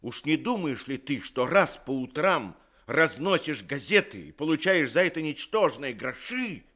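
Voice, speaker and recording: A man's voice: a little high, very animated, normal speed, pushed and bright, full character voice. An actor playing a bitter, stern father who scolds his son in an old radio play. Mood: scolding. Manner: scolding, harsh. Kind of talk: 1960s Soviet radio drama acting.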